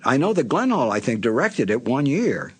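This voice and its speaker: An older man, a former radio announcer, looking back on his career in a relaxed, conversational way and sometimes correcting himself mid-sentence. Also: mid-range voice, normal speed, slightly rough. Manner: relaxed, conversational storytelling with small self-corrections. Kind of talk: reminiscing anecdote.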